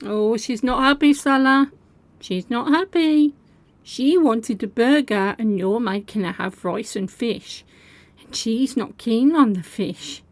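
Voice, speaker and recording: Brief: female commentary host, slow and deliberate, fairly high voice, dry close sound, sarcastic edge. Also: dry recording. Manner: sarcastic, scolding. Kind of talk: reaction commentary.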